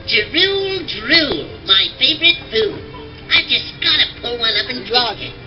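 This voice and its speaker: A man's voice: extremely high pitch, very animated, unhurried, distant mic, exaggerated voice. A man voicing a cocky, wisecracking male cartoon rabbit in a vintage story record. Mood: greedy. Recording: dull old record under loud music.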